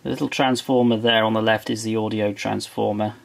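A man talking through a piece of old electronics at his workbench, explaining each part step by step as he thinks aloud in a relaxed way. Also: on the low side, a little fast, gravelly. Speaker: electronics restorer. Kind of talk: hands-on show-and-tell. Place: workbench indoors.

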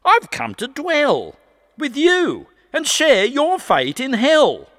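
A man's voice: very high-pitched, huge pitch swings, slow pace, a bit bright, pitched above the performer's own voice, exaggerated voice. A man voicing a defiant convict poet who declaims earnestly and indignantly in a recited ballad. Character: Frank, defiant male convict poet, bold and plainspoken. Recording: long reverb hall.

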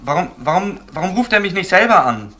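An actor playing a wary, flustered man on a phone call in a crime radio play, halting and stammering impatiently. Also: high-pitched, sing-song, bright, forceful tone, a little hiss or hum, full character voice.

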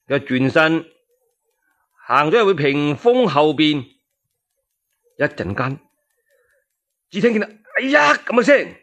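A male storyteller with a Cantonese accent tells a historical tale. His delivery is dramatic, with pitch that swings widely. It is solo radio narration, full of expression. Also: fairly bright tone.

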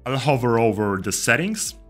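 A young man giving a casual step-by-step software tutorial, speaking into a close desk microphone indoors. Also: medium pitch, lively intonation, normal speed. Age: young adult. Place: indoor room. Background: music.

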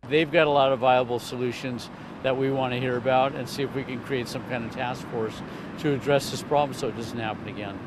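A man's voice: medium pitch, fairly even intonation, a little fast. Earnest man interviewed outdoors amid traffic. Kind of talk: field interview. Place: outdoors on a beach. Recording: loud outdoor traffic noise.

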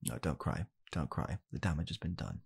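A young man playing a blunt, callous father in an ASMR comedy roleplay, speaking softly and haltingly in a deadpan way. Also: rumbling low, creaky, husky, full character voice. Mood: deadpan blaming. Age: young adult. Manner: soft-spoken, halting, deadpan. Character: blunt, callous father calmly blaming his child. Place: small home room, indoors.

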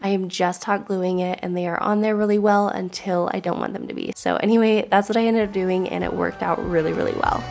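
Woman, 30s, home vlogger; bright chatty delivery, enthusiastic, recorded at home on a close camera. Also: mid-range voice, fairly even intonation, a little fast, gravelly, hardly any pauses. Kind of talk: casual voice-over and to-camera chat. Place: at home, in a storage closet and upstairs hallway.

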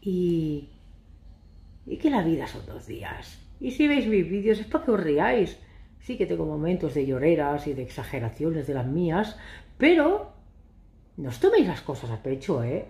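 A woman in her thirties, from Catalonia, chatting to camera in a casual, ironic, rambling way, a little hoarse. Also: deep voice, big pitch swings, moderate pace, darkish tone, roomy sound. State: hoarse from shouting. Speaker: vlogger. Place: living room sofa, indoors. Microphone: phone camera at arm's length.

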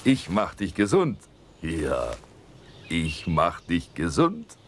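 Very slow deep-voiced male storyteller. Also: huge pitch swings, slight background noise. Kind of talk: slow, repetitive children's storytelling.

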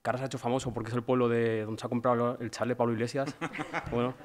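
A man's voice: mid-range voice, level tone, quick pace. A young man from the Madrid region, giving an informal acceptance speech at a podium microphone. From Madrid region, Spain. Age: young adult. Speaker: award recipient, doctoral researcher. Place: auditorium stage at a conference. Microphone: gooseneck podium microphone.

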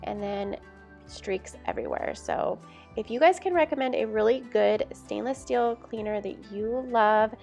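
A woman's voice: mid-range voice, very animated, measured pace, vocal fry, darkish tone. A woman giving a casual, friendly voice-over to her viewers as a home lifestyle vlogger. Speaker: home cleaning and decorating vlogger. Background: background music.

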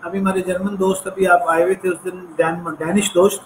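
A man's voice: high-pitched, flat delivery, brisk, reverberant, a little hiss or hum, rough recording. Earnest man with a slight American accent. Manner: earnest, reflective, sprinkles English phrases. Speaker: entrepreneurship professor, guest. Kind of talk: conversational interview storytelling.

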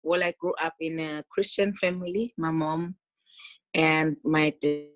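Low-voiced South African woman, chatty. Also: fairly even intonation, measured pace, highs cut off. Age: 30s. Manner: chatty, laughs often, many restarts. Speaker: interview guest, gospel singer. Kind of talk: casual interview over video call. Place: small room at home. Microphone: laptop webcam on a video call.

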